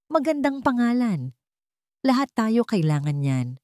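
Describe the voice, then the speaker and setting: A woman voicing a calm, kindly and quietly assured male landlord in dramatized story dialogue, with a Filipino accent. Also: a little high, a little slow, no echo, clean studio sound, pitched above the performer's own voice. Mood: calm, reassuring.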